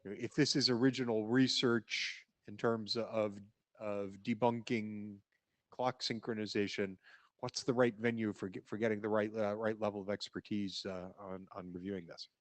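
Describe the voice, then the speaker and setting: A man chairing a meeting, thanking the speaker and asking questions, often restarting and trailing off quietly. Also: on the low side, fairly even intonation, moderate pace, no room sound. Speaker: session chair.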